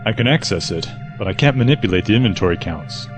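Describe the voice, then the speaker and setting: A man's voice: on the low side, expressive, quick pace, music in the background, close to an everyday voice. A man playing an anxious, grumbling supply worker with a hesitant manner in an audio drama. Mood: uneasy.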